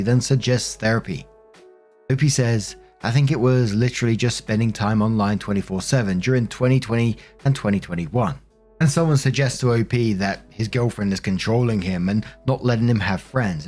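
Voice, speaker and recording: A man's voice: fairly low pitch, a little mellow. A man hosting and narrating online stories in a conversational, informal style, speaking directly to his listeners. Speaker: narrator and host of online story videos. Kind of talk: conversational narration of online relationship posts with commentary. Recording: music behind the voice. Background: background music.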